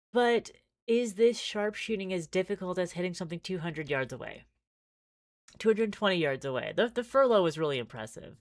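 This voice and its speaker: A woman livestreamer reading a visual novel aloud with casual asides, expressive and giggly, often stumbling and restarting. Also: lowish voice, no echo.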